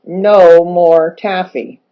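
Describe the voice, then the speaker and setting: A woman's voice: on the low side, fairly even intonation, drawn-out words, clean tone. A woman voicing stern storybook elders, firm and emphatic as they admonish. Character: stern bird elders: mayor, doctor, grandpa. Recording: dull lo-fi recording with music behind.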